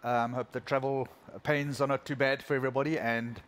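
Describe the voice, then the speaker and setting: A middle-aged man with a South African accent giving a conference keynote talk, relaxed and full of ums and restarts. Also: medium pitch, fairly quick, slight background noise. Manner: relaxed, thinking aloud with many ums and restarts. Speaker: conference speaker. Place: large conference hall.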